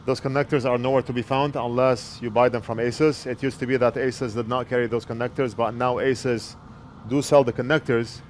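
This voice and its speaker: A man's voice: medium pitch, steady tone, brisk, a little hiss or hum. Man explaining a repair at his bench. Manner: plain, explanatory, talks while working. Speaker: repair technician. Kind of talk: step-by-step repair tutorial. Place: electronics repair workbench indoors. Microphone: wired earpiece headset mic.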